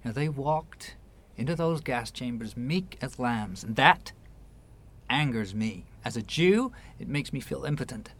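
A wry guarded new york finance man in a radio drama, measured clipped phrases, quietly wounded. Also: mid-range voice, lively intonation. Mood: angry, pained. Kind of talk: radio play dialogue.

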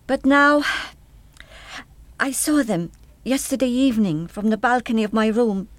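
A wistful, hesitant German woman played by an actress in a radio play. Her fairly high voice drifts into halting reverie. The sound is close and dry. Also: no room sound, lightly acted. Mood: troubled, wistful. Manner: reflective, halting, trailing into reverie.

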